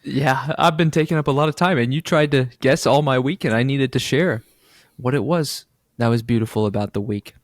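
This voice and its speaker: Male co-host, casual podcast chat, mid-pitched, dry close mic. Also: fairly quick, dry recording. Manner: playful, laughs often, recaps a church sermon series.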